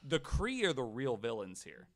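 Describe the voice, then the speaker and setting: A man's voice: mid-range voice, huge pitch swings, moderate pace. A man hosting a podcast in casual, joking chat, with a wry, geeky and freely swearing manner. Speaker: podcast host.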